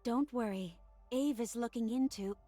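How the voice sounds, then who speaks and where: An actress playing a proud, vain but gentle young heroine in an anime game. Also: female voice, a little high, normal speed, lightly acted. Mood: calm. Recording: game music behind.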